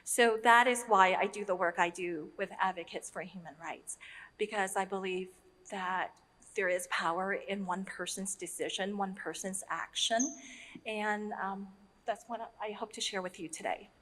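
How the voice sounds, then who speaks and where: A woman's voice: mid-range voice, steady tone, fairly bright tone. Earnest woman in an echoing hall. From Vietnam. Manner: earnest, halting with frequent ums. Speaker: nonprofit leader on a panel. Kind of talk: personal story told to an audience. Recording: long hall reverb.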